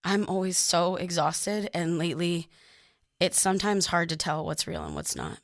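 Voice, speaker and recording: A woman's voice: on the low side, sing-song, moderate pace, creaky, dry recording, studio quality. A woman playing an exhausted, uneasy woman in her thirties narrating a confessional fiction podcast. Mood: weary, hesitant. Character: exhausted, uneasy young woman recording her dream diary.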